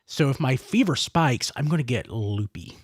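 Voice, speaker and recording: A man's voice: mid-range voice, moderate pace, husky, no room sound, studio quality. A man hosting a casual toy podcast while sick, stuffy and a little congested, rambling in a chatty way. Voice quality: stuffy nose. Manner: lively, rambling, self-deprecating. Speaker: podcast host. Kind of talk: casual roundtable chat.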